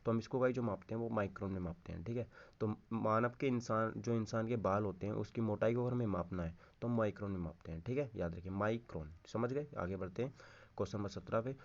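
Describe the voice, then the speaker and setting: The speaker is a man with a fairly low-pitched voice. He teaches in an informal exam-coaching style, repeating answers and often checking that listeners follow. He switches between Hindi and English terms, and the recording sounds dull and lo-fi. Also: fast talker. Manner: repeats key answers, frequent check-in tags, addresses listeners directly. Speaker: exam-prep physics teacher. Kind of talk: informal lesson, going through quiz questions.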